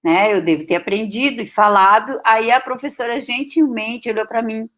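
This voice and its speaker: A woman in her late fifties with German as her first language, giving a reflective personal talk to an audience, pausing often and restarting her sentences. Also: a little high, fairly bright tone. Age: 50s. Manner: slow, reflective, with many pauses and restarts. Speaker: guest speaker.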